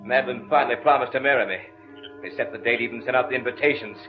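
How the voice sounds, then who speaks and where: An actor playing a jealous, coldly vengeful man in a radio horror drama, speaking deliberately with taunting false concern. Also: medium pitch, lightly acted. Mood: gloating, bitter. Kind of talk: old-time radio horror drama acting. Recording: phone-like old radio recording, music behind.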